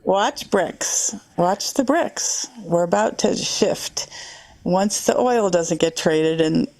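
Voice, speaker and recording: A woman from the United States chatting casually on a podcast, telling stories with animated emphasis. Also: lowish voice, slow pace, no room sound, low-quality recording. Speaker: podcast co-host. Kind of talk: casual group chat.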